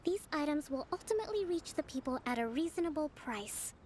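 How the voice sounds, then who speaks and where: A woman playing a gentle, composed young military strategist in a video game, speaking in measured, formal phrases. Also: high-pitched, animated, noisy background. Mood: assured, analytical. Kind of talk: scripted video game character acting. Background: background music.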